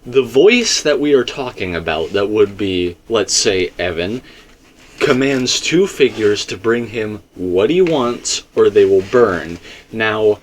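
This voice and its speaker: A man's voice: on the low side, big pitch swings, slow, a little hiss or hum. Emphatic man talking through theories. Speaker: video game theorist. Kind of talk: casual theory discussion.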